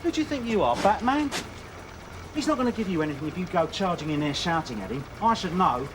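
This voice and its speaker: A man playing a world-weary, hard-nosed detective inspector, gruff and sardonic, in a TV police drama. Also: high-pitched, sing-song, fast talker. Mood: scolding. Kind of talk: naturalistic TV police drama acting. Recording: slightly distant, noisy TV drama sound.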